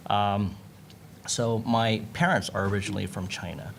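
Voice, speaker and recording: A man with an American accent giving unscripted panel remarks, halting and thinking aloud as he goes. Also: on the low side, fairly even intonation, gravelly, a crowd in the background. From United States. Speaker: panelist, lawyer.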